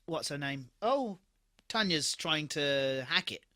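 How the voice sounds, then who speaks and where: Expressive male podcaster: bright, swooping intonation, close dry sound, loose sweary banter. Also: a little high, slow pace, dry recording. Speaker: podcast co-host. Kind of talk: casual chat, reactive TV episode commentary.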